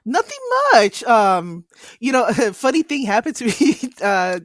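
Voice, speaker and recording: A woman's voice: a little high, lively intonation, unhurried, dry recording, studio quality. A woman from the United States co-hosting a podcast, telling a story animatedly with laughs and swearing. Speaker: podcast co-host. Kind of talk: casual anecdote telling.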